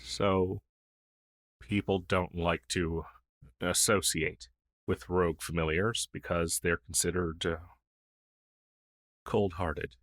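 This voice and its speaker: Man as a gentle old crow demon familiar, deep voice, unhurried, hesitant, wistful, ASMR roleplay, close dry sound. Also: steady tone, no room sound. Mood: resigned. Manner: hesitant, halting, soft-spoken with sighs.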